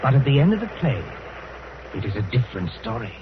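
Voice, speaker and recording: An actor as a grave narrator, delivering suspenseful, dramatic vintage radio narration. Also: male voice, mid-range voice, big pitch swings, quick pace, noisy background, lightly acted. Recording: tinny, band-limited old radio, loud music.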